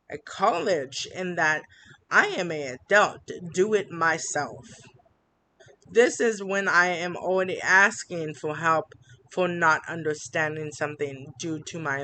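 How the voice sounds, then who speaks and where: A woman vlogging an upset complaint, halting with many fillers and restarts, reading from written notes. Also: low voice, pushed and bright. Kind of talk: personal complaint vlog.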